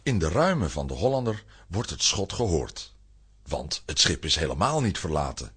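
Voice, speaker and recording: Male narrator for a children's radio play: very husky, deep, suspenseful, swooping theatrical delivery. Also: big pitch swings, normal speed, fairly bright tone. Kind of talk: dramatic scripted storytelling for children's audio drama.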